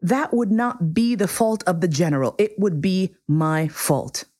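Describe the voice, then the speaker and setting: A woman's voice: lowish voice, unhurried, dark tone. A woman voicing a proud, kindly but imperious king in a storybook reading, with formal, commanding phrasing. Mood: reasonable, self-important. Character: proud, pompous but kindly absolute monarch, male king.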